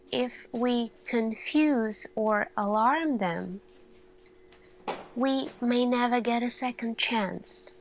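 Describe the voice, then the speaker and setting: A woman acts out an earnest lead scientist in a sci-fi ASMR roleplay. She has a fairly high female voice and speaks very slowly and softly. Her delivery is deliberate and thoughtful, pausing around each question she puts to her team. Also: poor audio. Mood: hushed, probing.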